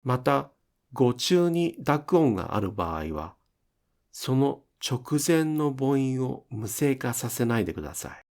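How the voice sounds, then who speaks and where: A man teaching pronunciation in an instructional style, enunciating deliberately and carefully for language learners. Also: mid-range voice, sing-song, vocal fry, darkish tone. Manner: deliberate, careful enunciation with demonstrated example words.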